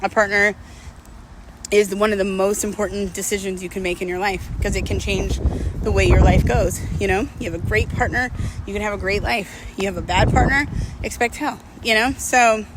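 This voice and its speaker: A woman of about thirty-seven speaks in a medium-pitched voice, casual and confessional, as if vlogging personal advice. She is candid and reflective, questioning herself as she goes. Faint urban, traffic-like noise sits behind her words. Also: steady tone, moderate pace.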